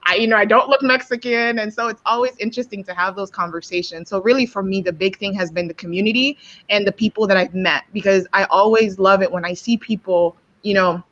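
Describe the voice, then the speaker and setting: A woman talks in an upbeat, chatty way, with quick asides. Her voice sits at a middle pitch. It comes through a slightly dull, lo-fi connection. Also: fairly bright tone. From Nigeria and Kenya. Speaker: guest. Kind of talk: casual conversation on a live show. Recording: dull lo-fi sound.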